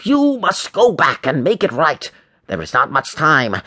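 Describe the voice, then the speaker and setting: A man's voice: a little high, huge pitch swings, a little slow, pushed and bright, full character voice. A man voicing a mysterious, adventurous history teacher in a storybook, exclaiming with breathless urgency. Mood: urgent alarm.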